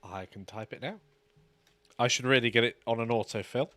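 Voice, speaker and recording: A man co-hosting a casual live stream, easygoing and quick to laugh. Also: mid-range voice, fairly bright tone. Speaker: co-host.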